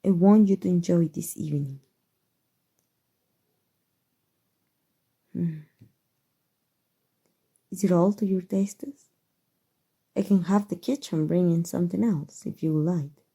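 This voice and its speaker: A woman with a Mexican accent voicing an elegant, centuries-old female vampire in an ASMR roleplay, doting and softly formal, with a breathy voice. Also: fairly low pitch, full character voice. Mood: doting, wistful. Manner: soft, tender, formal and unhurried endearments.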